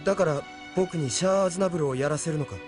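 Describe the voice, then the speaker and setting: A man's voice: a little high, quick pace, music in the background, lightly acted. A man voicing an earnest, doubting young man, a reluctant hero, in an audio drama. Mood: doubtful. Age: young adult. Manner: earnest, questioning. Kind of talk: Japanese audio drama acting.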